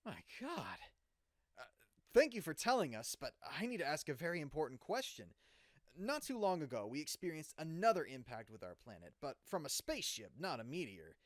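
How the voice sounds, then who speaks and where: A man's voice: a little high, very animated, a little fast, dry recording, clean studio sound. A man playing an incredulous, urgent radio news host in a dramatized live broadcast. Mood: incredulous, alarmed, then urgent, probing.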